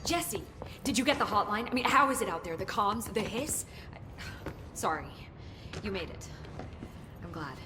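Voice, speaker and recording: An actress playing an eager, nervous bureau researcher in a video game, earnest and precise, halting when flustered. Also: female voice, medium pitch, expressive, background noise, lightly acted. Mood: anxious, relieved. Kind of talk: video game drama, expository dialogue.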